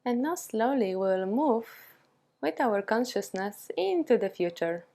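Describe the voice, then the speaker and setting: Slow-paced woman guiding a meditation. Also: fairly high pitch. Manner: calm, soothing, with long pauses between short phrases. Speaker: yoga and meditation teacher. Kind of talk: guided meditation.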